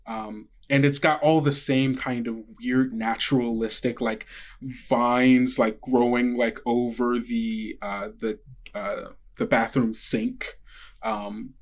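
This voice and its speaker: Halting, conversational game master, sex ambiguous. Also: mid-range voice, flat delivery. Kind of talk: casual improvised narration of a tabletop roleplaying game.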